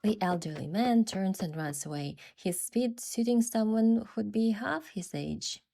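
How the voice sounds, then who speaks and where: A woman's voice: mid-range voice, expressive, measured pace, gravelly, mellow, a little hiss or hum. A woman giving scripted first-person story narration with acted dialogue. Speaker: narrator and lead character of a game event story. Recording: music behind.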